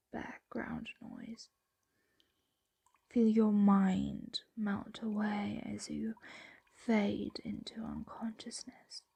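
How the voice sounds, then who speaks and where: A woman playing a possessive, doting dragon captor in an ASMR roleplay, whispering slowly and hypnotically close to the microphone. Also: on the high side, no room sound, heavily acted. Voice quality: breathy whisper. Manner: slow, hushed, hypnotic coaxing. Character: possessive, doting female dragon captor soothing their pet.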